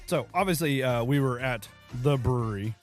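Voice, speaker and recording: A man with a hoarse voice co-hosts a podcast and talks over beers in a loose, rambling way. He laughs often and sits at a middle pitch. Faint music plays under him. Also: normal speed. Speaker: podcast co-host. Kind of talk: casual chat over beers.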